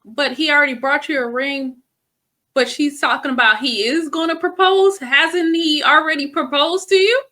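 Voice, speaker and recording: A woman's voice: high-pitched, moderate pace, sharp tone. A woman co-hosting a reaction video, chatting skeptically about the clip she's watching. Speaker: reaction channel co-host. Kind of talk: casual reaction commentary.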